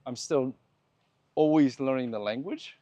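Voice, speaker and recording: A man's voice: medium pitch, unhurried, dark tone, no room sound, highs cut off. Middle-aged male host, reflective and easygoing, dry close sound. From United States. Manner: thoughtful, laughs easily, restarts phrases. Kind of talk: casual conversation while walking. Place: outdoors on a walk.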